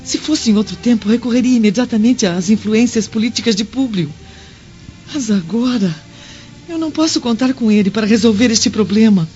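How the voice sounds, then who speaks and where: A woman's voice: on the high side, lively intonation, moderate pace, natural voice. Senator's troubled wife played by a woman, Brazilian accent, timid and earnest, lo-fi radio play. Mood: troubled, wistful. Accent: Brazilian Portuguese. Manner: apologetic, earnest. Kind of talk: Brazilian radio drama acting. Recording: dull lo-fi radio drama with music.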